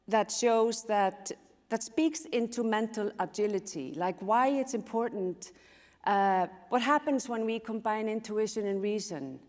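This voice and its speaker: A woman from Iceland whose first language is Icelandic, giving a reflective conference talk at a measured pace with pauses. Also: on the high side, a little mellow. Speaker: conference speaker. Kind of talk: live design talk. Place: large event hall. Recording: long reverb of a large hall.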